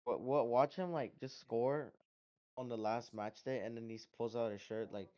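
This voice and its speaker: A young man chatting casually on a stream, laid-back, giving short replies that trail off quietly. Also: medium pitch, fairly even intonation, moderate pace. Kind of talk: casual livestream chat. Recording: dull lo-fi audio.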